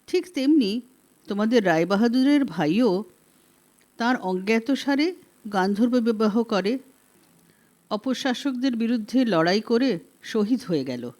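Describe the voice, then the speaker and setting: A woman narrating a literary story as an audiobook reader, in a measured, dramatic storytelling manner. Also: on the high side, a little mellow.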